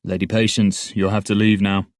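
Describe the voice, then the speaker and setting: A stern young guard barks firm, formal orders, pushed louder as his patience wears thin, as a male narrator plays him. The voice lifts slightly from the narrator's low one but keeps its dry, close sound. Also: steady tone, brisk, no echo, close to an everyday voice. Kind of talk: audiobook character voice.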